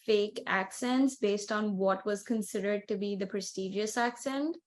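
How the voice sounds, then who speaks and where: A woman's voice: mid-range voice, unhurried. A young woman from India, co-hosting a casual podcast and talking reflectively with many "like"s and "you know"s. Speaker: podcast co-host. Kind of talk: casual conversational chat.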